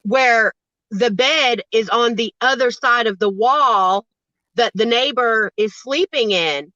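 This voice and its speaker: A woman in casual conversation, telling her side bluntly and with profanity in a naturally loud voice. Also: fairly high pitch, slow, no room sound, highs cut off. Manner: blunt, profane, emphatic storytelling; says she has a naturally loud voice. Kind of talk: casual chat on a livestream.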